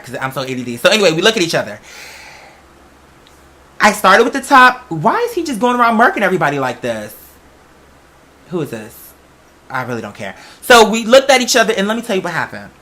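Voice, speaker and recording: A podcast host with a bright, forceful voice at a middling pitch, rambling casually to an audience. The delivery is slangy and emphatic, and it often wanders off on tangents.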